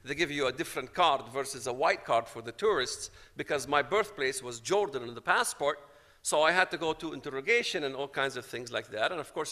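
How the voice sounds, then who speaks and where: A man's voice: fairly high pitch, bright, forceful tone, big-room sound. A man from Palestine giving a personal testimony, telling his story dramatically and acting out the dialogue. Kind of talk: storytelling talk to an audience.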